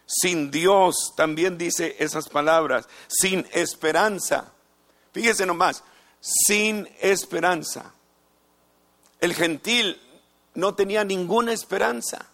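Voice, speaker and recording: A middle-aged man preaches with an unhurried, measured delivery. His voice sits on the higher side, and he repeats phrases and lets pauses hang between them. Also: fairly bright tone. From United States. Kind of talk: sermon.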